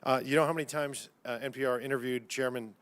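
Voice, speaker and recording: Man, congressman, medium pitch, combative questioning, folksy phrasing, legislative hearing. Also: steady tone, fast talker, fairly bright tone. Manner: folksy, combative, uses y'all.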